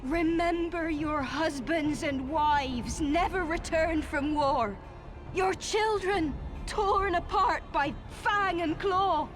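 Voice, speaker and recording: A woman's voice: extremely high pitch, slow pace, music in the background. A woman playing a fervent, vengeful rebel agitator in a video game, declaiming with theatrical passion. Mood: solemn incitement. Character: fervent vengeful female rebel agitator rallying commoners against nobles. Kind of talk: dramatic video game voice acting, impassioned oratory.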